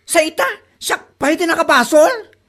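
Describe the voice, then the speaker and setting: A woman playing a brash, sharp-tongued neighbour in a comedy audio drama, speaking with an Ilocano accent. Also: extremely high pitch, lively intonation, moderate pace. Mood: offended, retorting. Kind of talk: Ilocano comedy radio-style drama acting.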